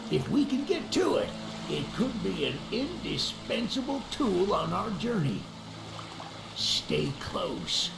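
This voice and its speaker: A man's voice: sits high, creaky, background noise, exaggerated voice. An actor voicing an eager, friendly older monster-hunting man in a video game, with a folksy, theatrical way of talking. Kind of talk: video game cutscene acting. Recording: roomy echo with music underneath.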